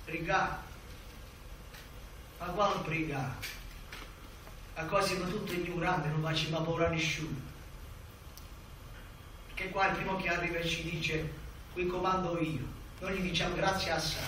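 Scornful village ranter, male actor: southern Italian, sardonic, high-pitched, in a distant, very reverberant stage recording. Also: lightly acted. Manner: ranting, sardonic. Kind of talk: buffoonish stage theatre rant. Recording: very echoey, distant, noisy stage.

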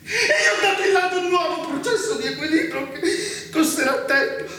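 An actor playing a fanatical, tormented brother in a stage drama, grandiose and pleading, declaiming in halting phrases and repeating himself. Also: male voice, extremely high pitch, expressive, bright, forceful tone, heavily acted. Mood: feverish, grandiose. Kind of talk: stage theatre acting. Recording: echoey stage from far off, faint noise.

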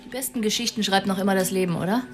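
An actress playing a determined, sharp-witted police detective in a radio drama, businesslike and firm. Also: female voice, mid-range voice, very fast, over music, lightly acted. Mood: brisk, intent.

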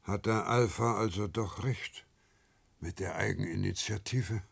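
Casting: submissive worker drone, husky and creaky, stammering apologetically, sci-fi radio play. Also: male voice, fairly low pitch, a little slow, faint music behind, full character voice. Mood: meek. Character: timid obedient male worker, confused and apologetic. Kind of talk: science fiction radio drama acting.

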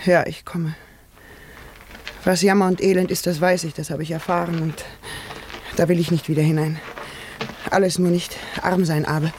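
An actress playing an anxious innkeeper's wife in a German radio drama, fearful, pleading and terrified of poverty. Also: female voice, fairly low pitch, little pitch movement, moderate pace, long pauses, a little mellow, a little hiss or hum, close to an everyday voice. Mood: dread, bitter.

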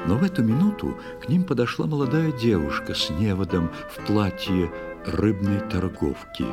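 A man narrating a verse fairy tale in an expressive, scripted storytelling style. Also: lowish voice, moderate pace. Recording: music behind the voice. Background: clear instrumental music.

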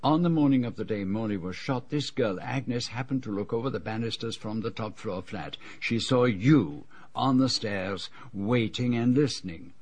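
Actor as low-voiced, husky foreign detective. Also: male voice, a little mellow, natural voice. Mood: stern, pressing. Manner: formal, deliberate phrasing without contractions. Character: shrewd, grave foreign male detective, weary and relentless. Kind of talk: radio drama acting.

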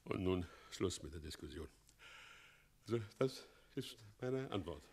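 An actor playing an evasive, half-mad town mayor in a German radio play, gushing with loyalty and swinging theatrically between hush and outbursts. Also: male voice, deep voice, animated, a little slow, husky, heavily acted. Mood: frantic devotion.

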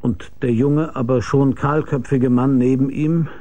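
An actor playing a weary, laconic, deadpan hard-boiled private detective who narrates his case in a radio crime drama. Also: mid-range voice, measured pace, no room sound, close to an everyday voice. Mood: tense narration.

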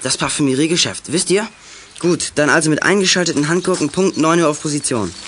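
A man plays a resourceful teenage boy detective in a children's audio drama. His voice sits fairly high, with brisk, clipped delivery. There is slight noise behind him. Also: a bit bright, close to an everyday voice. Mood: planning, decisive.

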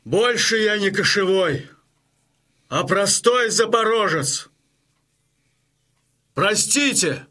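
An actor playing a weary Cossack chieftain who addresses his men solemnly and haltingly in an audio drama. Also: high voice, lively intonation, bright, forceful tone, exaggerated voice. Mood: resigned. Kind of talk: Russian audio drama acting.

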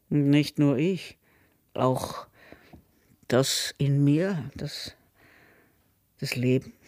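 An actor playing a shaken, haunted survivor in a radio drama monologue, halting and reflective, with a southern German or Austrian accent. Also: male voice, medium pitch, darkish tone, no room sound, clean studio sound. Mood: halting wonder.

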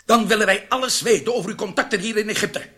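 An actor playing a menacing Egyptian intelligence interrogator in a radio drama, commanding and deliberate. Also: male voice, sits high, sharp tone. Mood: stern, demanding.

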